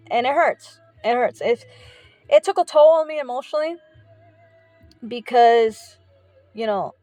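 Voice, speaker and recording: A woman's voice: a little high, a little mellow, dry recording. Earnest woman sharing a heartfelt confession. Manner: earnest, heartfelt, halting with many "you know" fillers. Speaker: faith vlogger. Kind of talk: personal confessional vlog.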